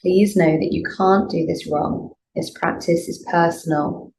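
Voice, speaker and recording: Low-pitched woman guiding a meditation. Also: fairly even intonation, rough recording. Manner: gentle, soothing, unhurried instruction with pauses. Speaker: yoga nidra teacher. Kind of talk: guided meditation. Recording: clear background noise, room ambience.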